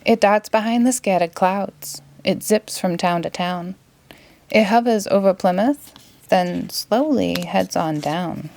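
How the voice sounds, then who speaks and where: A woman with a Boston accent reading a rhyming children's story aloud in an expressive, playful way. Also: medium pitch, slow pace, gravelly. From Massachusetts, United States. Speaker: storybook reader.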